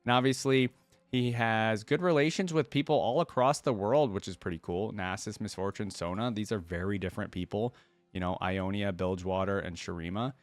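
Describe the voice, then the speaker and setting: Male reaction host, lower register, casual read-aloud commentary, very dry recording. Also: a little fast, no room sound. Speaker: host of a game reaction video.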